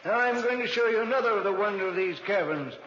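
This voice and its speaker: Fussy old explorer uncle, high sharp voice, old dull radio recording. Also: male voice, fast, a little hiss or hum. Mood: eager. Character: older male explorer uncle, brisk, eccentric, unsuspecting. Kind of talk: 1940s radio acting.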